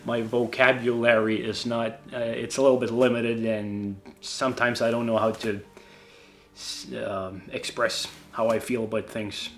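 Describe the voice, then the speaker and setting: Slow-talking Swedish man. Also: mid-range voice, a bit bright. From Sweden. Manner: halting, many fillers and restarts, self-deprecating. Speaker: YouTube creator. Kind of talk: casual Q&A reading viewer questions.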